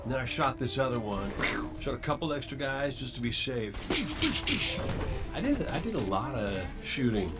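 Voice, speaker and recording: A man's voice: medium pitch, lightly acted. An actor playing a chatty, easily sidetracked male fighter who offhandedly recounts a battle in a game trailer. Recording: game trailer audio with music. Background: music.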